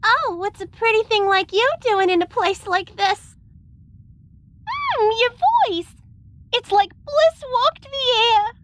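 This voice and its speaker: Woman as seductive female alien, brazen, flirtatious, very high pitch, slow delivery, audio drama. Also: lively intonation, fairly bright tone, a little hiss or hum, full character voice. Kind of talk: audio roleplay acting.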